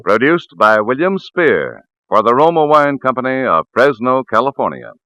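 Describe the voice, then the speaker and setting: A man working as a 1940s network radio announcer, reading scripted credits in a formal, measured manner. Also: medium pitch, big pitch swings, lo-fi sound. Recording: vintage radio recording over music.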